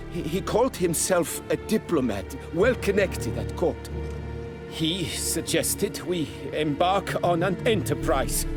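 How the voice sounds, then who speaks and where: Slow-spoken, high-pitched, servile winemaker. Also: male voice. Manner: formal, obsequious, halting. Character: deferential, anxious male nobleman winemaker, proud yet servile. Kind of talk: video game voice acting.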